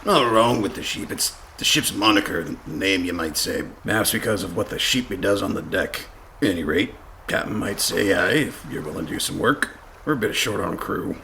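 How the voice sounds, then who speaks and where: A shifty pirate deckhand in a comic radio play. The voice is very deep and forceful, with a rambling stage pirate drawl and folksy turns of phrase. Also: male voice, expressive, normal speed, gravelly, slight background noise, exaggerated voice. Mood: wary, blustering. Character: gruff, dim, shifty pirate sailor.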